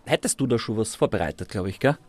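A man's voice: on the low side, expressive, quick pace, a little mellow, no echo. An Austrian man of forty speaking informally and encouragingly, like a teacher explaining things to pupils. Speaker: primary school teacher and musician. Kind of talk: casual explaining and coaching.

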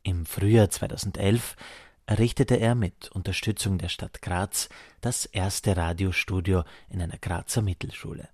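A man's voice: low voice, fairly even intonation, normal speed, a little mellow, husky, no room sound, studio quality. A man reading scripted narration as the reporter of a radio feature.

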